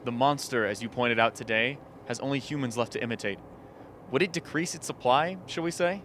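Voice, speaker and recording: Guy playing dry clever camp man, medium pitch, sharp tone, radio play, faint hum behind. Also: close to an everyday voice. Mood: dry, reasoning. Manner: deliberate, wry reasoning. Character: shrewd, dry-witted man of an isolated camp. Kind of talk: radio drama acting.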